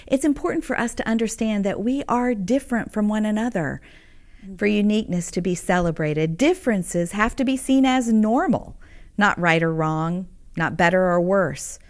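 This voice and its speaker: A woman author calmly teaching parents in a scripted, earnest and encouraging narration. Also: on the low side. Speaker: author and curriculum host.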